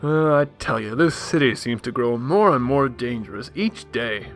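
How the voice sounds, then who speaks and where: An actor playing a breezy, naive-seeming rich socialite with a casual, affable manner in a radio drama. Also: male voice, medium pitch, lively intonation, normal speed, soft background music, close to an everyday voice. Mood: feigning naivety.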